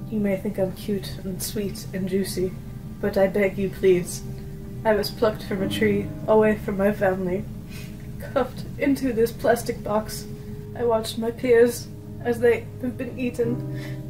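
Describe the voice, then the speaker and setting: Girl doing a sad pleading strawberry puppet, drawn out and dramatic, loud music under it. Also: on the high side, fairly even intonation, unhurried, lightly acted, poor audio. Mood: tragic pleading. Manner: melodramatic. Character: pleading, melodramatic strawberry parent. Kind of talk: improvised absurd puppet comedy.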